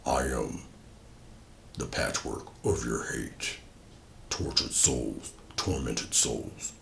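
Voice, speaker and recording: A monstrous swamp avenger. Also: male voice, very slow, creaky, fairly bright tone, echoey room, slight background noise, exaggerated voice. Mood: menacing. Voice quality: deep growling whisper. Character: hulking swamp monster of vengeance, male, menacing.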